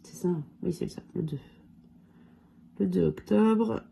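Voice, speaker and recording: A woman with a cold and a hoarse voice, casually going through her budget challenges and counting money aloud with lots of fillers. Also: on the low side, a little slow, mellow. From France. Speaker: budget vlogger. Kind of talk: casual budget review talk.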